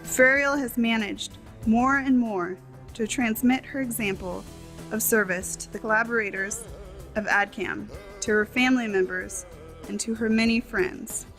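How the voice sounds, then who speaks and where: A woman reading a translated tribute and speech as a voice-over, in measured, formal phrasing with short clauses. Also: a little high, fairly bright tone, soft background music.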